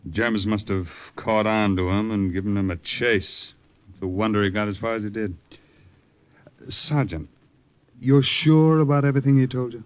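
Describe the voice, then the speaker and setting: A man playing a grave older army colonel, measured and formal in an old-time radio drama. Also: fairly low pitch, clear, resonant tone, no room sound, close to an everyday voice. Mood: grave, concerned.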